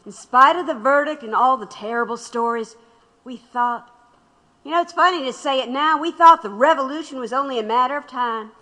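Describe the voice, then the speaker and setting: A woman's voice: sits high, brisk, pushed and bright. An actress playing a weary, rueful radical woman looking back with regret in an audio drama. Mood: reflective. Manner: reflective, rueful. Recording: long hall-like reverb.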